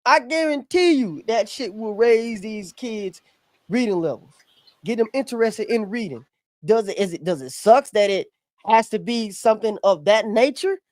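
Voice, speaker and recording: A woman's voice: fairly high pitch, lively intonation, normal speed, darkish tone, no room sound. A woman with an American accent hosting a casual podcast discussion, emphatic and slangy, swearing freely. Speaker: podcast host.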